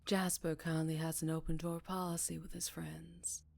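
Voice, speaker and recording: A woman playing a gentle, melancholy female vampire in an intimate ASMR roleplay, speaking softly in a Southern US accent, often near a whisper, with tender pauses and sighs. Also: sits low, level tone, vocal fry. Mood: uneasy, hushed. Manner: slow, hushed, affectionate, with pauses and sighs.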